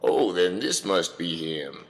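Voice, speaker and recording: A man playing a gruff, weary mountain father, stern and protective, in a comedic radio drama. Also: sits low, lively intonation, takes it slowly, big-room sound, rough recording.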